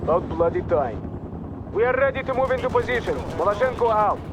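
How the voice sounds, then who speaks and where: An actor playing a brash, ruthless Soviet army captain with a Russian accent, impatient and defiant in a military video game. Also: male voice, sits high, lively intonation, a little fast, background noise. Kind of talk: video game voice acting, radio calls. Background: machine guns, artillery and vehicles.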